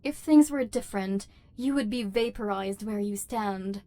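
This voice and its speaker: A woman's voice: mid-range voice, a little slow, mellow, close to an everyday voice. A woman voicing an imperious robot overlord who despises humans, speaking in formal, machine-like phrases in an ASMR roleplay. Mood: haughty, threatening. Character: haughty, human-hating female robot overlord, secretly lonely. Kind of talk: ASMR roleplay, dramatic villain monologue.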